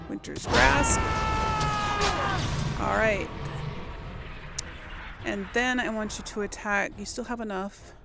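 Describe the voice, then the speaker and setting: A woman's voice: medium pitch, creaky. A woman giving casual let's play commentary, hesitantly thinking aloud as she plays. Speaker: gaming video host.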